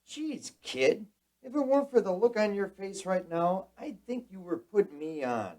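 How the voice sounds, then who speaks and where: An actor playing a plain-spoken, obliging coworker in a radio drama, casual and a little hesitant. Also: male voice, high-pitched, big pitch swings, moderate pace, a little mellow, a little hiss or hum. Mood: skeptical but obliging.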